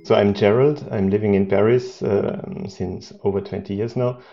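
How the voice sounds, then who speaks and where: Man, 40s, guest, halting and full of fillers, low gravelly voice. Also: steady tone, dark tone, highs cut off. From Austria. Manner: hesitant, many fillers and restarts.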